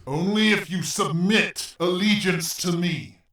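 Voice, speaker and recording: A man's voice: high-pitched, echoey room. A man playing a gloating evil robot overlord in an audio drama, with a grandiose, commanding villain delivery. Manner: slow, grandiose villain delivery with sudden shouts. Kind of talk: fan audio drama acting.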